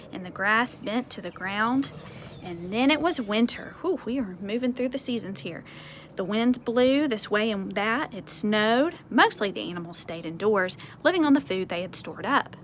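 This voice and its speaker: High-voiced woman reading a picture book. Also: moderate pace. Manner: animated, explanatory, conversational asides. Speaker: storyteller reading to children. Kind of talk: expressive picture-book read-aloud with asides to children. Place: outdoors on a porch. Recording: faint outdoor ambient noise.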